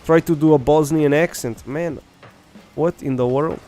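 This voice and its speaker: A man's voice: a little high, a little slow, mellow, a little hiss or hum. A young man chatting casually with viewers on a game stream, rambling and thinking aloud with many restarts and questions. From Ireland. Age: young adult. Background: faint background beats.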